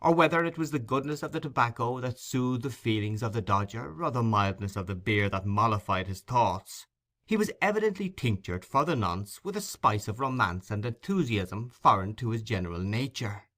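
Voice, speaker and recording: Male narrator for classic fiction: expressive, bright and forceful, mid-range voice. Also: mid-range voice, moderate pace. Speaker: audiobook narrator. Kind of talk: expressive scripted literary narration.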